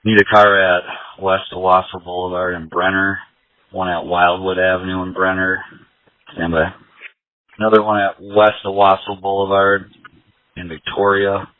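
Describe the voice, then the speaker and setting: A man's voice: sits low, monotone, moderate pace, no echo. A male police officer giving procedural instructions to units over a tinny police radio.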